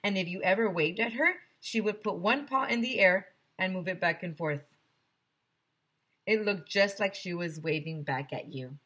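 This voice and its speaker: A woman's voice: fairly low pitch, normal speed, a bit bright, breathy edge. A woman teacher reading a children's story aloud expressively, with chatty asides to young listeners.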